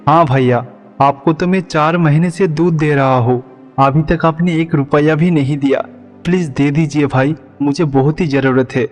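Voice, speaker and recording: A man's voice: fairly high pitch, steady tone, normal speed, lightly acted. Guy doing meek pious village milkman from a cartoon, earnest, echoing with music behind. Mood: pleading. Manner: polite, pleading, earnest. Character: Rangaiya, meek devout naive male village milkman. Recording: long reverb, music behind.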